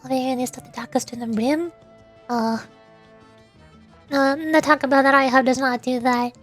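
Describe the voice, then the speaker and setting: High-voiced, playful young woman streamer. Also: fairly even intonation, moderate pace, a bit bright. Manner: playful, chatty, giggly. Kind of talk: casual live-stream chat. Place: home streaming desk. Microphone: desk microphone. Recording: home mic with faint music.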